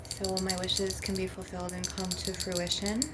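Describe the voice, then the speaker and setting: A woman's voice: lowish voice, completely flat delivery, normal speed. A woman hosting a casual spiritual ASMR monologue to viewers, talking in a rambling, conversational way. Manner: rambling, with frequent "like" and "you know". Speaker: ASMR channel host. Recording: loud background noise with tapping and clicking. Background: crystal and glass tapping, clicks, background noise.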